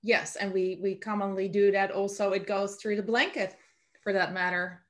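A woman's voice: medium pitch, level tone, moderate pace. A woman in her forties moderating a webinar, reading audience questions with some fillers over a video call. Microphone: video call webcam.

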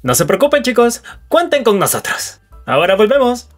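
A woman voicing an upbeat, confident, teasing twenty-year-old man with a husky voice in a cartoon fandub. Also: a little high, very animated, normal speed, faint music behind. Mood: cheerful. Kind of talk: fandub comic acting.

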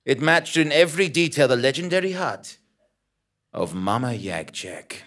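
A man playing an earnest, pompous investigative journalist, narrating his adventure theatrically with wordy, dramatic pauses on a small stage. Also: medium pitch, animated, a bit bright, natural voice. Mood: awed. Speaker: narrator in a comedy audio drama.

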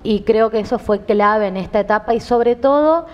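A woman, a minister speaking in fluent, measured political phrases in a TV studio interview. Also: a little high, long reverb tail, lo-fi sound. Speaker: government minister and candidate. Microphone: headset mic.

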